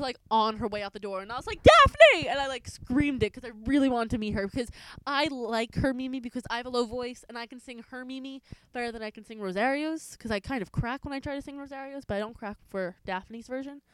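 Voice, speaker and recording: A woman's voice: a little high, animated, fast, a bit bright, smooth, rough recording. A young woman co-hosting a podcast, chatting casually and rambling with fillers and restarts. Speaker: podcast co-host. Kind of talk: casual chat.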